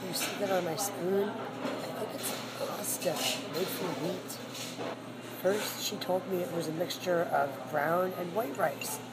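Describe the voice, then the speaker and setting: A hoarse woman hosting her own food vlog, chatting frankly about her meal while sitting in a seafood restaurant. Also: lowish voice, steady tone, a little slow. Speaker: food vlogger. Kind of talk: casual restaurant review vlog. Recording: loud background noise.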